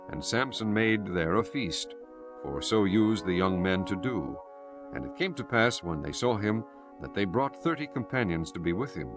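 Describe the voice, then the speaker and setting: Male Bible narrator: deep, formal, solemn read over clearly audible music. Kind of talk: scripted scripture narration. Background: prominent music.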